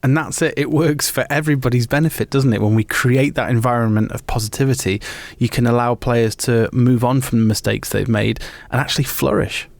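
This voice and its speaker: A man's voice: medium pitch, fairly quick, gravelly, few pauses, dry recording. A man hosting a podcast, talking conversationally about faith in an earnest, explanatory way. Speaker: podcast host. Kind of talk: conversational talk on faith and football.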